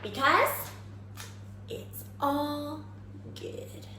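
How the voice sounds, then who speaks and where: A woman teacher giving an expressive, exaggerated children's read-aloud and simple lesson, prompting listeners with questions. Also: high-pitched, extremely slow. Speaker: kindergarten teacher reading aloud. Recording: echoey room, faint background noise.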